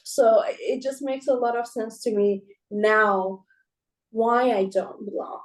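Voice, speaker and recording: A woman co-hosting a podcast, speaking in an emphatic, heartfelt way with quick asides and a frequent "right?". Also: a little high, low-quality recording. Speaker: podcast co-host. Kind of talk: casual conversation.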